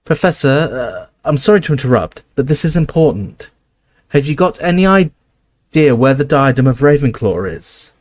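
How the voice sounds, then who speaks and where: A man's voice: mid-range voice, sing-song, moderate pace, no echo. A man voicing an urgent teenage boy.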